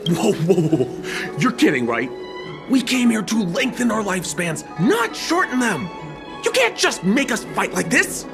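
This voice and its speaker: A jittery young guard from a game cutscene, with a highish voice that leaps around wildly. He is cheeky and informal, and music runs underneath. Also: a little slow, creaky, exaggerated voice. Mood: alarmed, reluctant. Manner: informal, excitable, wheedling. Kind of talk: scripted video game cutscene acting.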